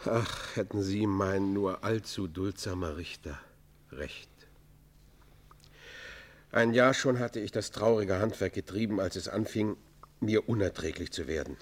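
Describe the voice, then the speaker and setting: A low-pitched bandit leader laying bare his conscience. He is eloquent and anguished, with a proud streak under the remorse. The delivery is solemn, formal and declaimed in the manner of classic radio drama. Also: measured pace, long pauses. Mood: weary, bitter. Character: repentant robber chieftain confessing, anguished, proud, eloquent. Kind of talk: classic German radio play acting, literary monologue.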